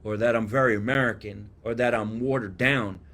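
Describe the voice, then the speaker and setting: A man's voice: medium pitch, fairly quick. Chatty man with a New Jersey accent. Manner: chatty, rambling, animated storytelling. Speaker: podcast host. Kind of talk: casual live chat, reading viewer comments.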